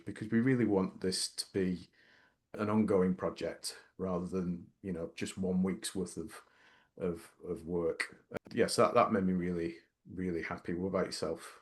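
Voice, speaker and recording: A middle-aged man co-hosting a casual workplace podcast. He speaks hesitantly, with many repeated words and restarts. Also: low voice. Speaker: podcast co-host.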